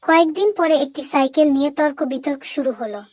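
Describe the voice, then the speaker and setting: A woman narrating a moral story for children in a clear, didactic storytelling manner. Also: sits high, fast, darkish tone, no room sound.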